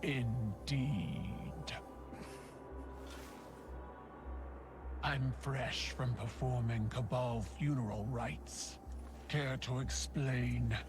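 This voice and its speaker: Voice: medium pitch, steady tone, takes it slowly, close to an everyday voice. Proud alien empress played by an actor: commanding, sardonic, clipped game-cinematic delivery over music. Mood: cold challenge. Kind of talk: dramatic game cutscene acting. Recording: music behind dialogue.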